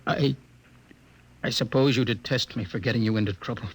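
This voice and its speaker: An actor playing a passionate, defiant young outcast rebel in a 1950s radio drama, speaking in an impassioned, oratorical way. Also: male voice, mid-range voice, fairly even intonation, brisk, big-room sound, lightly acted. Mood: tender, intense.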